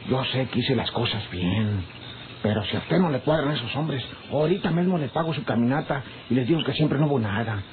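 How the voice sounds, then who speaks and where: A man's voice: mid-range voice, natural voice. An actor playing a plain-spoken, stubborn rural henchman with a country Mexican accent in a radio serial. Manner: plain-spoken, folksy, insistent. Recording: tinny, noisy old radio recording.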